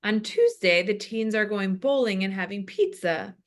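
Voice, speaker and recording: A woman voicing an older, food-loving male pastor with labored breathing, reading halting announcements at a comedy table read. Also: mid-range voice, lively intonation, lightly acted. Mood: bumbling, eager. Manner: halting, bumbling, muttered asides.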